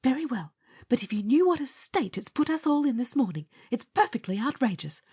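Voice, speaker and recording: A man's voice: sits high, expressive, moderate pace, dry recording, clean studio sound, voice pushed up, exaggerated voice. A man voicing a severe, indignant woman, a scornful hospital nurse, in a storybook reading. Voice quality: hushed, husky.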